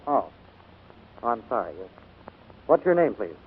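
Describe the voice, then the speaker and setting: A genial master of the house in 1940s radio drama. He is courteous and a little hesitant, with lively pitch, over a tinny, noisy recording. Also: moderate pace, breathy edge. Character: polite, genial gentleman employer, master of house.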